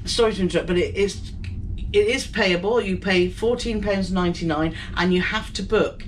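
A woman's voice: medium pitch, normal speed, far from the mic, a little hiss or hum, poor audio. A woman in her fifties co-hosting a travel vlog, chatting enthusiastically about a cruise from their cabin. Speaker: travel vlogger co-host. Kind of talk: casual chat.

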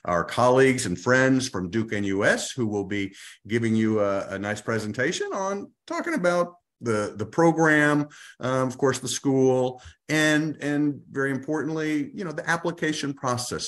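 A man hosting an online information session, introducing the speakers in an upbeat way. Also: medium pitch. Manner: upbeat, with fillers and restarts. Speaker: event host. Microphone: video call.

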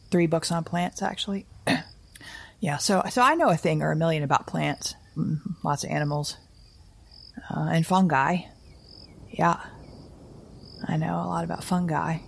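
A weary woman survivor talks into her audio-drama diary in a low, gravelly voice. She is anxious and wry, rambling haltingly and correcting herself as she goes. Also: exaggerated voice. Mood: uneasy, rambling. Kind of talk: naturalistic audio drama monologue.

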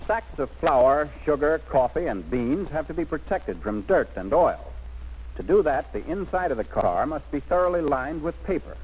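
A man's voice: on the high side, lively intonation, normal speed, a little mellow, husky, rough recording. A man narrating a 1940s military instructional film in an emphatic, instructive scripted manner, on an old film soundtrack.

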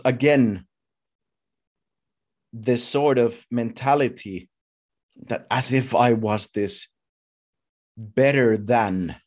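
A man in his thirties giving a personal talk as a speaker, telling his story in short, halting, deliberate phrases. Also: mid-range voice, a little mellow. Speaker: conference speaker. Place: indoors at home, video call.

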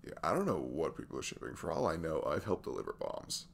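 A man playing a tired, sweet, easygoing working single dad in a soft-spoken, hesitant, rambling ASMR roleplay chat. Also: rumbling low, sing-song, fast talker, vocal fry, heavily acted. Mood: casual, amused.

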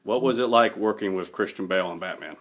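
A man's voice: low-pitched, fast, fairly bright tone. A man asking short interview questions as an interviewer. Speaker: off-camera interviewer.

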